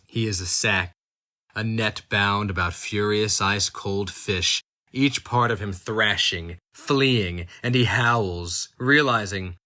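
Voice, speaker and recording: Slow, intense male dramatic reader. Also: bright, forceful tone. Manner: slow, intense delivery with sudden high, strained outcries. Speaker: narrator. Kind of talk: dramatic reading of dark fantasy fiction.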